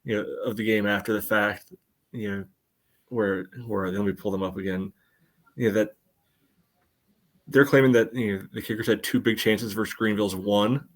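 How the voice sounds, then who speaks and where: A man's voice: on the low side, steady tone, normal speed, long pauses. A man co-hosting a podcast in casual sports chat, often saying "you know" as he goes. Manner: peppers speech with "you know". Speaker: podcast co-host.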